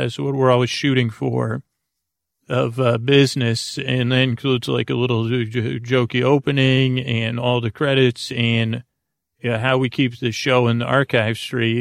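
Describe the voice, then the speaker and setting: A man with a very creaky, low-key voice hosts a bedtime podcast, recorded close and dry. He rambles soothingly and haltingly, drifting off into digressions and circling back. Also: dry recording. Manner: lulling, soothing. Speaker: podcast host.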